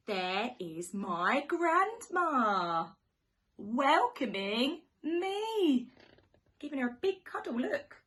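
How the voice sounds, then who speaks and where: Very animated woman reading to young children. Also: mid-range voice. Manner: animated, questioning, dramatic gasps and hushed near-whispers. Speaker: primary school teacher reading a picture book to children. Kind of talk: expressive children's story reading, sing-song rhyme with asides to young listeners.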